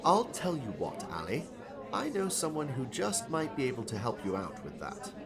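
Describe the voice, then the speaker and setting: An actor playing a kindly, encouraging novelist in an audiobook drama, speaking in a measured, reflective way. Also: male voice, medium pitch, huge pitch swings, creaky, over music. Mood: thoughtful, encouraging. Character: kindly male novelist, thoughtful and gently encouraging.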